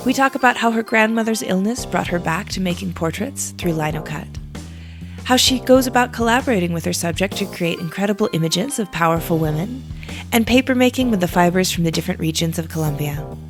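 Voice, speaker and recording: A woman's voice: on the low side, expressive, fairly quick, music in the background. Woman podcast host, chatty interviewer. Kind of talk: scripted intro, then casual interview.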